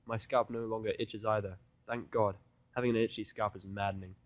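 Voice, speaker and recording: A man's voice: fairly low pitch, steady tone, a little fast, no echo. A man reading a scripted first-person fan fiction narration in a blunt, sardonic manner.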